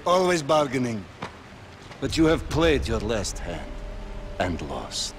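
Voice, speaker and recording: An actor playing a ruthless middle-aged warlord in a video game, with a cold, theatrical, menacing way of speechifying. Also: male voice, mid-range voice, huge pitch swings, takes it slowly, background noise, heavily acted. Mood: grimly gloating. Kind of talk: dramatic video game acting.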